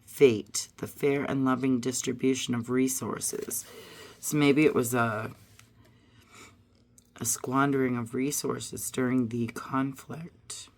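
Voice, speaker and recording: A hoarse woman getting over a cold, musing aloud as she pulls and reads tarot and oracle cards. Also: a bass voice, steady tone, slow pace. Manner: musing aloud, drifting to soft murmurs. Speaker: tarot reader. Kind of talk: casual card-reading vlog.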